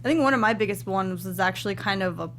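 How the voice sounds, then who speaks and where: A woman's voice: fast talker, fairly bright tone. A woman co-hosting a casual review chat in an informal, playful, tongue-in-cheek way. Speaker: co-host of a movie review show. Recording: clear background noise, low rumble.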